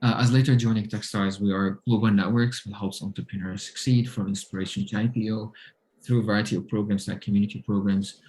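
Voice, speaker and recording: Syrian-accented man, halting answers. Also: on the low side, fairly even intonation, a little mellow, poor audio. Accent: Syrian Arabic. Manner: halting, many fillers, non-native phrasing. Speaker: guest entrepreneur. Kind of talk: interview answers.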